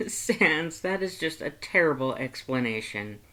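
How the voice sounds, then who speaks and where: A man's voice: a little high, expressive, slow, pushed and bright, voice pushed up, heavily acted. A man voicing a curious, hesitant, good-humoured young person with a questioning manner, in a storybook reading. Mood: amused, puzzled. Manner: questioning, tentative.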